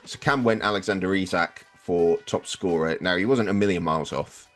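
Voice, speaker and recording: A man's voice: low-pitched, a little fast, fairly bright tone, faint music behind. A man hosting a sports podcast, talking casually and wryly as he explains season results, with some swearing. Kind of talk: casual football podcast review.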